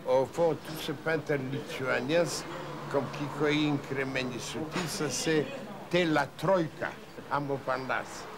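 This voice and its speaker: Older male documentary witness, very slow and halting, word repetitions, clearly noisy ambient recording. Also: fairly high pitch. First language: Russian. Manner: halting, repeats words, searching for phrases. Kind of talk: unscripted reminiscence. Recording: loud background ambient noise.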